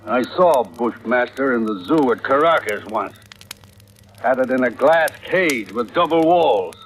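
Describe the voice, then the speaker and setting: An actor playing a stern, wary ship's captain with terse authority in a 1940s radio drama. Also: male voice, mid-range voice, lively intonation, unhurried, close to an everyday voice. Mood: ominous. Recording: vintage radio recording, faint noise.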